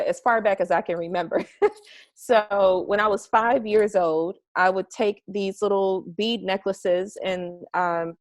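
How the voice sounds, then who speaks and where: A woman's voice: mid-range voice, no room sound. A young woman from the United States, the guest of an interview, talking eagerly and at length from her home garage, with fillers as she goes. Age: young adult. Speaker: interview guest, marketing strategist. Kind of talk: casual interview over a call.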